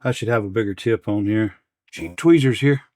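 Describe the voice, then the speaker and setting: A man's voice: on the low side, normal speed, a little mellow, no room sound. Man casually talking through a repair, dry recording. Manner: unscripted, talking to himself while working. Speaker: repair channel host. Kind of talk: casual hands-on repair demonstration. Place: indoors at an electronics workbench.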